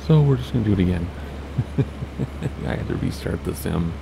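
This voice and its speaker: A low, gravelly man talks his way through a flight sim run, chatty and thinking aloud as he goes. His voice is raspy, and a steady hiss of noise sits under the recording. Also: normal speed. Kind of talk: casual gameplay commentary.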